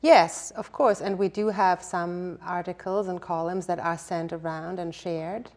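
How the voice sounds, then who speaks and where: Lady, talks soft and kinda hesitant, low-ish voice. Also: steady tone, measured pace. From Germany and Denmark. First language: German. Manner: soft-spoken, hesitant with fillers and restarts. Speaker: guest, newspaper publisher. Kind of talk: conversational interview answers.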